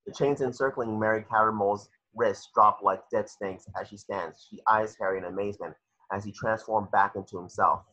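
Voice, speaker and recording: A man with a husky voice narrating screenplay stage directions at a table read over a video call. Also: lowish voice, steady tone, a little fast, sharp tone, muffled recording.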